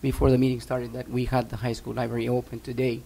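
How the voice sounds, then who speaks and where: A man on a school board speaks earnestly in a meeting, urging and filling pauses with "um" and "uh". His voice is gravelly and his pitch stays flat, at a middle level. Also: poor audio. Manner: earnest, urging, with frequent fillers.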